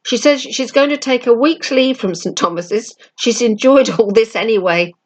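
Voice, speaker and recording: A woman voicing an excitable schoolboy in a storybook, eager and stumbling over words. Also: a little high, normal speed, pitched above the performer's own voice, low-quality recording. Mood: eager, chatty.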